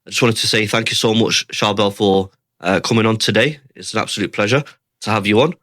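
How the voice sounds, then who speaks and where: A man's voice: on the low side, brisk, fairly bright tone, no room sound, clean studio sound. A man hosting a podcast interview, asking questions casually with hesitant fillers. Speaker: podcast host.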